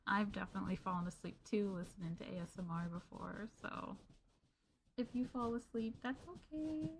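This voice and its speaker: A young woman streamer talking gently and softly with her viewers during a cozy ASMR roleplay stream, often giggling and dropping to a hush. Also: mid-range voice, slight background noise, low-quality recording.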